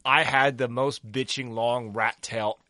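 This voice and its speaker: Bright-voiced Southern man bantering on a podcast. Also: medium pitch, steady tone, unhurried, no room sound. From Southern United States. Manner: joking, profane, rambling with restarts. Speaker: podcast co-host.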